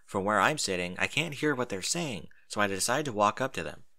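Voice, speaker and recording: A man narrating a first-person story in an expressive reading style. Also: fairly low pitch, brisk.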